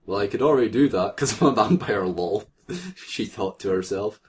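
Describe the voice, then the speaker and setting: A man's voice: lowish voice, sing-song, normal speed, a little mellow. A man co-hosting a comic read-aloud of fan fiction, joking in asides as he reads.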